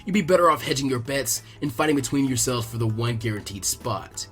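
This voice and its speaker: A man's voice: medium pitch, lively intonation, fairly quick, a bit bright, pitched below the performer's own voice, lightly acted. A man plays a grown ninja instructor in an anime story, dropping his voice into a lazy, derisive drawl. He sounds dismissive and mocking over background music. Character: laid-back, mocking adult male ninja teacher.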